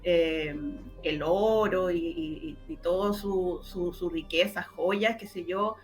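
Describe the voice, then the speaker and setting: A middle-aged woman with a Chilean accent, telling stories conversationally as an interview guest, often pausing to find her words. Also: on the low side, steady tone, faint music behind, rough recording. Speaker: interview guest, radio drama maker and journalist.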